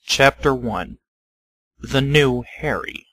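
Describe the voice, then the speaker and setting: Man narrating a story, medium pitch. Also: expressive, slow pace, a bit bright, dry recording, poor audio.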